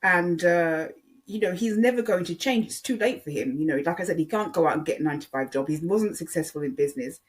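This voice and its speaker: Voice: mid-range voice, lively intonation, quick pace. A video creator talking in a casual, rambling way, hesitant and often repeating words for emphasis.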